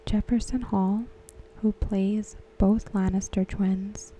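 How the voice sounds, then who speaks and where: A woman whispering softly as an ASMR host, talking through a TV episode. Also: medium pitch, flat delivery, slow pace, slight background noise. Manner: whispered, unvoiced and close. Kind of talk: soft-spoken ASMR commentary.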